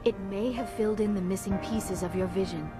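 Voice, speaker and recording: A gentle alien researcher acted by a woman talks politely and precisely in a sci-fi game scene. Her mid-pitched voice comes with loud music behind it. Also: steady tone, brisk, natural voice. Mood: polite, eager. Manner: polite, soft-mannered, precise. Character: gentle, scholarly female alien scientist, polite and eager. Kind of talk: video game cutscene acting.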